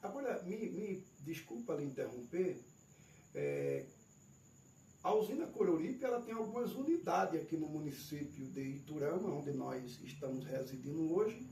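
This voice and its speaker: A man's voice: a little high, sing-song, normal speed, creaky, dark tone, distant mic. A man with a Northeastern Brazilian accent, a pastor interviewing a guest in a polite, ceremonious, formal church style. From Pernambuco, Brazil. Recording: echoey room from afar with faint noise.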